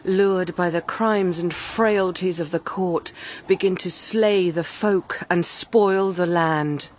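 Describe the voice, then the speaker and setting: An actress playing a stately, remorseful fugitive queen in a verse audio drama, breathy and solemn. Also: lowish voice, takes it slowly, a little mellow, slight background noise. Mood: foreboding. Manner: solemn archaic verse delivery. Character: grieving fugitive queen hiding among nuns, stately and remorseful.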